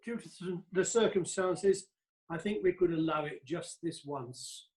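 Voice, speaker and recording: A man's voice: fairly high pitch, unhurried, a little mellow, close to an everyday voice. A man playing a long-suffering magistrate, polite but exasperated, keeping order in a comic courtroom play.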